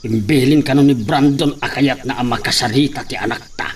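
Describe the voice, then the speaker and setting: A man's voice: mid-range voice, fairly quick, slightly rough, close to an everyday voice. A man playing an earnest, protective old peasant father in a radio drama, with an Ilocano accent. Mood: urgent, defiant.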